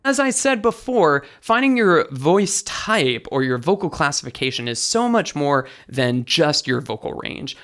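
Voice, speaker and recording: A man with a light, bright tenor voice, a vocal coach explaining singing technique as an interview guest. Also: a little high, sing-song, measured pace, few pauses. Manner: enthusiastic teaching, sings short demos.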